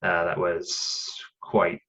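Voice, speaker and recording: A man in his thirties talks in a loose, rambling way, full of ums and restarts. His voice sits very low. The audio is dull and lo-fi. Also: completely flat delivery, very slow, pushed and bright. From Canada. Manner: chatty, rambling, many fillers and restarts. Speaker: podcast guest. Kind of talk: casual conversation.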